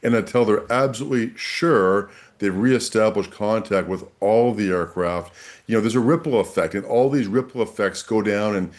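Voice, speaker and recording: A man's voice: fairly low pitch, normal speed. A man speaking as an expert guest in a news interview, explaining things with emphatic repetition.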